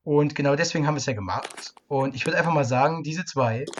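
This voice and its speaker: A man's voice: on the high side, quick pace. A man hosting a podcast in a casual way, searching for his words as he goes. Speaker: podcast host. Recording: muffled lo-fi mic with clear noise.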